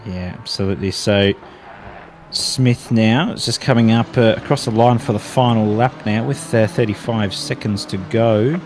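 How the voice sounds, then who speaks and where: A man's voice: on the low side, moderate pace, noisy background. A man with an Australian accent calling a sim race, running through the time gaps and lifting with the on-track battles. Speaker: race commentator. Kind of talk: sim racing commentary.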